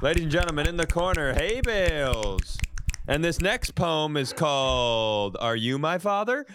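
A man's voice: on the high side, very animated, measured pace, background noise. An American man co-hosting a comedy podcast with casual, profane banter and a mock-indignant manner.

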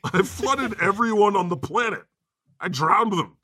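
A man's voice: sits high, lively intonation, unhurried, sharp tone, breathy edge, no room sound, full character voice. A man playing God on a comedy podcast, talking brashly and with lots of swearing. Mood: mocking. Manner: profane, brash, laughing. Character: God, boastful crude male deity. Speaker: podcast co-host. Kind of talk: improvised comedy podcast banter.

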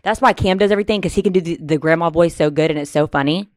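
A woman co-hosting a casual livestream, talking in an animated, slangy, giggly way. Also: on the low side, racing. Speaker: co-host.

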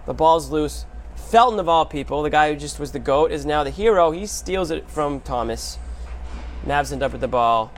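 A man hosting a sports show, recapping basketball in a casual, animated storytelling way with emphatic short phrases. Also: a little high, normal speed, slight background noise.

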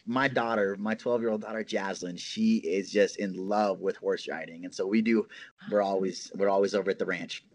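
A man with a fairly low voice talks as a podcast guest in a loose, conversational way. He explains at length with lively energy and laughs often. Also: moderate pace, no room sound. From Hawaii. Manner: animated, laughs often, explains in long runs. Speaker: podcast guest, cannabis grower. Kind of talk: casual interview chat.

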